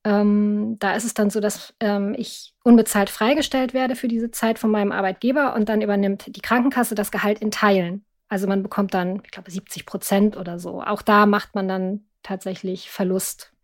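A woman's voice: medium pitch, steady tone, normal speed, hardly any pauses. Reflective middle-aged woman, hesitant phrasing. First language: German. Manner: reflective, hesitant, searching for words. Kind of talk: personal account in a conversational interview.

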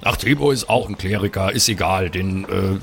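A man's voice: low-pitched, moderate pace, vocal fry, fairly bright tone. A man voicing a polite, earnest male adventurer who speaks in a hesitant, wordy way. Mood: hesitant, protesting.

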